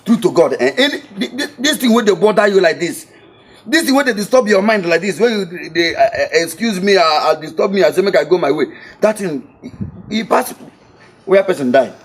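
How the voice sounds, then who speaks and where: An actor with a Nigerian accent playing an excitable young man who stammers and pleads in pidgin. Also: sits high, fairly quick. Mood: pleading. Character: excitable broke young man chasing his money. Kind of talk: film comedy acting.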